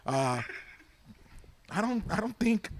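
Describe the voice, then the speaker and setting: Man in his thirties, halting. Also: high-pitched, big pitch swings, a little hiss or hum. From Puerto Rico. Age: 30s. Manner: halting, filler-heavy storytelling. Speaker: stand-up comedian. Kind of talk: casual panel chat.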